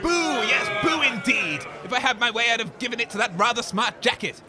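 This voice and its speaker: A man's voice: very high voice, brisk, loud music behind the voice. A man playing a blustery, scornful radio co-host giving indignant live commentary in a comedy audio drama. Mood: grumbling. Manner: blustery, grumbling exclamations. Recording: outdoor event with crowd behind.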